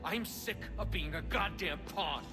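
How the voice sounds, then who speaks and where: An actor playing a frustrated, defiant young American hero and son in a video game, blunt and sarcastic. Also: male voice, sits high, measured pace, big-room sound, over loud music. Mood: fed up, angry. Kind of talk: video game cutscene acting.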